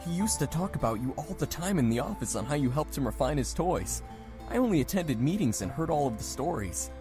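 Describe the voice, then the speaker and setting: A woman voicing a courteous, eager, slightly nervous young gentleman in a visual novel reading. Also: deep voice, sing-song, brisk, music in the background, pitched below the performer's own voice. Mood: polite, eager.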